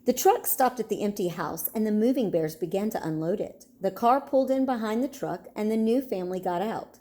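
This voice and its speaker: A woman narrating a children's storybook in an expressive, storytelling manner. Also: mid-range voice, a little fast.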